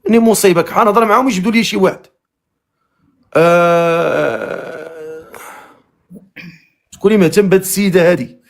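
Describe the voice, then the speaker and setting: A man's voice: high-pitched, brisk, vocal fry, pauses often. A man with a Moroccan Arabic accent hosting a live matchmaking stream, teasing and joking with callers. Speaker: live matchmaking show host. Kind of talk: casual live-stream chat.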